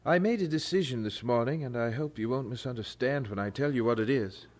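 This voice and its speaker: An actor playing an earnest, troubled young man in an audio drama, polite and halting in his speech. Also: mid-range voice, a little mellow, lightly acted.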